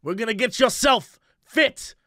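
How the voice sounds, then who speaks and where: A man co-hosting a casual podcast, hoarse from losing his voice, joking around and breaking into silly sung bits. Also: very high voice, lively intonation, unhurried, no echo, clean studio sound. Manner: goofy, sings and shouts bits, jokey asides. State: hoarse, lost voice. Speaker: podcast co-host.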